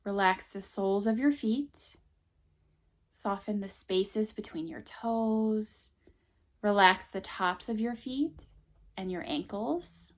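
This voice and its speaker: Woman yoga teacher doing a guided meditation, real slow and gentle, soft kinda breathy voice, short phrases. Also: mid-range voice. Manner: soothing, gentle instructions in short phrases with long pauses.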